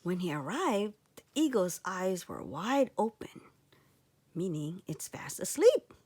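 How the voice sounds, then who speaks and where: A woman reading a children's storybook aloud with expressive narration. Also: lowish voice, measured pace. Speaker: storybook reader.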